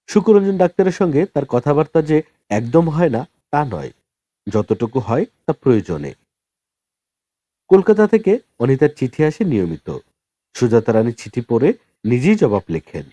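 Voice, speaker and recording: A man's voice: medium pitch, dark tone, dry recording. A man narrating a novel as an audiobook, reading in a scripted storytelling style.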